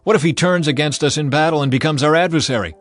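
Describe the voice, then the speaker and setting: A man's voice: on the high side, fast talker, voice pushed up, close to an everyday voice. A man voicing angry, suspicious army commanders who make indignant demands.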